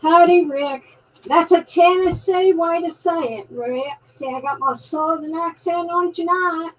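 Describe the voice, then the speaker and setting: A woman's voice: very high-pitched, a little slow. An older woman from New York with a New York accent, a guest activist talking in a folksy, chatty way with frequent restarts and fillers. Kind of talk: live online talk show, casual monologue. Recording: distant mic with faint background noise.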